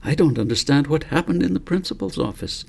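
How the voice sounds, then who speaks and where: A man's voice: on the high side, expressive, a little fast, gravelly, husky, pitched above the performer's own voice, full character voice. A man reading the part of a bewildered schoolboy in a storybook, asking insistent questions full of doubt.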